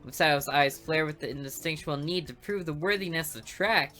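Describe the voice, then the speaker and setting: A woman's voice: deep voice, moderate pace, a bit bright, faint music behind. A woman reading a visual novel aloud, narrating in a dry, sarcastic first person.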